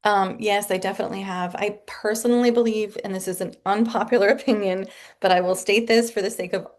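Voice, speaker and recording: A woman speaking as a guest author in a casual interview from her home office, chatty and animated and laughing often. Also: mid-range voice, fairly quick.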